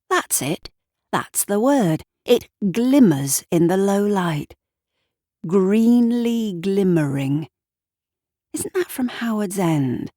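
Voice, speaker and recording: A woman's voice: fairly low pitch, warm tone, no echo, clean studio sound. A woman narrating scripted fiction dramatically, with wry, conversational asides to the listener. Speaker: storyteller narrating audio fiction.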